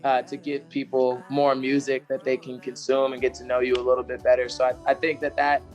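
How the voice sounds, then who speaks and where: A man with a raspy, slightly hoarse voice, answering interview questions in a relaxed, conversational way. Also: medium pitch, fairly quick, faint music behind. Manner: relaxed, conversational, sings snippets. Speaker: interview guest, singer-songwriter. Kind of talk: casual interview chat.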